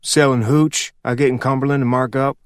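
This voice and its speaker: A man's voice: medium pitch, a little fast, no room sound, clean studio sound, natural voice. A man doing a dim young hooch seller. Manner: flat, dim, deferential.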